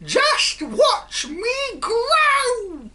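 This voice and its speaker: A woman voicing a screechy, gleeful old grandmother who whoops and shouts for more. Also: extremely high pitch, sing-song, very slow, very noisy, pitched above the performer's own voice, full character voice. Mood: wild glee.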